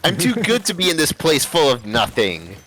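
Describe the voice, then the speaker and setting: A man's voice: on the high side, huge pitch swings, normal speed, a bit bright, slight background noise, rough recording. A man voicing a spoiled, snobbish eleven-year-old cartoon girl who whines. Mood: whiny, snobbish. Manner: whining.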